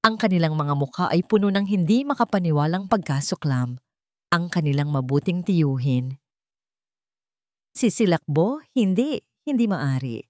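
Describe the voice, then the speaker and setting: A woman's voice: low voice, lively intonation, normal speed, pauses often, no room sound, clean studio sound. A woman storyteller reading a dramatic scripted narration in a suspenseful, emotive manner.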